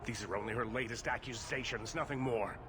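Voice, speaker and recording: An actor playing a proud, indignant leader of mages in a fantasy game, with a formal, theatrical delivery. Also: male voice, medium pitch, fairly quick, background noise, lightly acted. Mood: indignant, defensive. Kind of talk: dramatic fantasy game acting.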